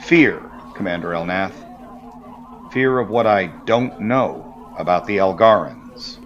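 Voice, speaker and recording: A man's voice: deep voice, lively intonation, fairly bright tone, a little hiss or hum, full character voice. A man playing a wary, formal alien magistrate in a sci-fi audio drama, on dull-sounding audio. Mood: guarded, troubled. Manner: formal, measured wording. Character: wary male alien magistrate, wounded but softening.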